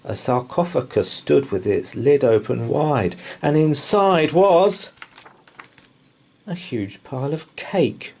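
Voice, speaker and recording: A man's voice: medium pitch, animated, takes it slowly, creaky, a little mellow. A man reading a children's bedtime story aloud in rhythmic verse, with a theatrical, dramatic delivery. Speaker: storybook reader.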